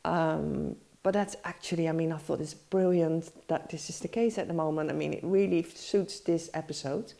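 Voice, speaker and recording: A woman hosts a meditation podcast with a stuffed-up nose from a cold. Her voice sits low and sounds soft and mellow. She rambles gently, full of fillers and restarts. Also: moderate pace, creaky, hardly any pauses. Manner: rambling, many fillers and self-corrections. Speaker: podcast host, meditation teacher. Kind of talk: casual spoken teaching.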